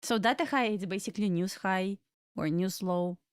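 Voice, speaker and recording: A woman's voice: mid-range voice, moderate pace, smooth, no room sound, studio quality. A young adult woman from Belarus with a Russian accent, chatting loosely with viewers while she hosts a live trading stream. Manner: chatty, loose and rambling, answering chat questions between market comments. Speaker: livestream trading host. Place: home room in Florida.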